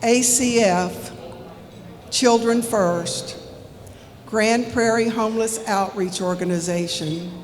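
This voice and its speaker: A woman presenting donations and scholarships at a community ceremony, reading names slowly at a podium microphone and correcting herself politely. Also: mid-range voice. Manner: reads names slowly with small apologetic corrections. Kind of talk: formal announcing into a microphone. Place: large indoor event hall. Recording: long hall reverb, music playing. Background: background music.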